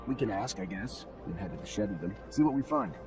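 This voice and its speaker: A man's voice: fairly low pitch, lively intonation, fast talker, music in the background, close to an everyday voice. A man playing a determined, idealistic warrior leader in a game cutscene, speaking earnestly and plainly. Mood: practical. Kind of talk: video game cutscene acting.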